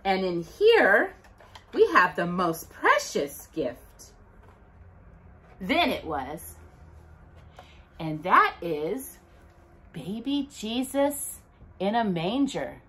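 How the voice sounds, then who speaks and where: A middle-aged woman hosting a children's storytime, reading aloud in an expressive, sing-song way to young listeners. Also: mid-range voice, very slow, pauses often. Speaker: storytime host. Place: indoors at home by a brick fireplace.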